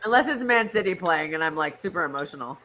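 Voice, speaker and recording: Female American co-host, fairly low voice, animated pitch, chatty casual banter. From United States. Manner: chatty and expressive, quick to laugh. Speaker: co-host of a live football watch-along stream. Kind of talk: casual chat.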